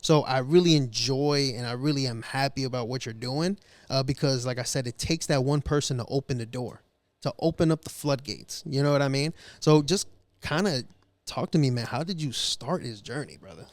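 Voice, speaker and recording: Laid-back male host, mid pitch, close dry sound, easygoing filler-heavy chat. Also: normal speed, no echo. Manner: laid-back, repeats fillers like "you know what I mean". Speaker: podcast host. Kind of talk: casual interview chat.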